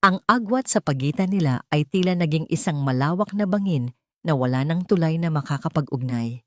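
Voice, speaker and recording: A woman's voice: deep voice, animated, fairly quick, dry recording. A woman narrating a dramatic scripted story in a solemn, emotive way.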